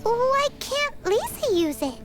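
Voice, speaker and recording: A woman voicing an excitable, childlike fairy companion with a squeaky voice in an anime video game. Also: slow pace, heavy background noise, heavily acted. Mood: curious, eager. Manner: refers to self in third person, animated.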